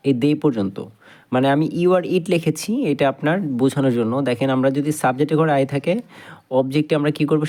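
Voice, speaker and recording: A man with a Bangladeshi accent speaks as a teacher in a mid-pitched voice. He explains informally, point by point, going back over key ideas and rewording them. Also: a little mellow. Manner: explains step by step, repeating and rephrasing key points. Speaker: English grammar teacher. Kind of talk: informal explanatory lesson to camera.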